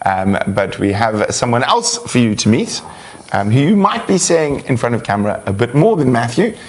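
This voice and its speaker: A man hosting a live wildlife show, reading out viewer questions in a relaxed, chatty way with lots of fillers and laughs. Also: medium pitch, normal speed, slight background noise.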